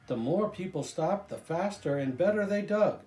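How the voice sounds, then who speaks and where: An older man reading a children's storybook aloud, drawing out key phrases with deliberate emphasis. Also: high-pitched, animated, dark tone, echoey room, faint music behind. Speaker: storybook reader, grandfather.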